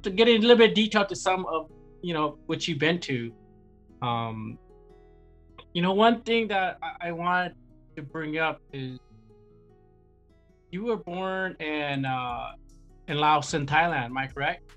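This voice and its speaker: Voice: mid-range voice, expressive, a little slow, long pauses, fairly bright tone, faint music behind. An interview host who welcomes the guest enthusiastically and asks questions in an admiring, rambling way. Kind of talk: interview hosting.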